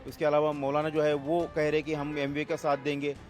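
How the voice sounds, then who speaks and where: A man's voice: a little high, monotone, a little fast, a little mellow. A man working as a television news reporter, putting long, insistent questions to a guest in a political interview.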